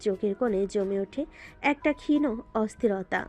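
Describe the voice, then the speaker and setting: A woman's voice: mid-range voice, normal speed, a little mellow, soft background music. A woman narrating a scripted romantic story in a dramatic style.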